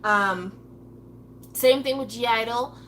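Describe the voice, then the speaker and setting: A woman talks in a loose, unscripted monologue, blunt and swearing freely. She slips into lively impressions of other people as she goes. Her tone is bright and forceful, with a faint hum underneath. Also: on the high side, slow. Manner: acts out playful voices of others. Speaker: commentator. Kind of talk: casual opinion monologue.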